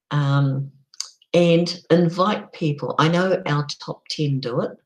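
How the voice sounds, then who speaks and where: A middle-aged woman talks slowly and casually on her own live stream, her voice croaky. She is chatty and unhurried, often pausing on an um before carrying on. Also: low-pitched, poor audio. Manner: slow, chatty, frequent ums.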